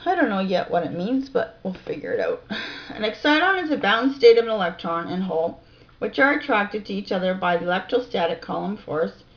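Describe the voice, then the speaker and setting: A woman reading reference text aloud in a deliberate, informal way, with casual musing asides, on a home recording. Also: medium pitch, highs cut off. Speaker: amateur video researcher and narrator.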